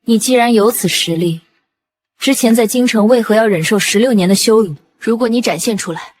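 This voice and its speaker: An actress playing a proud, earnest young noblewoman warrior in an animated fantasy drama, formal and resolute. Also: fairly high pitch, a little fast, breathy edge. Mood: astonished.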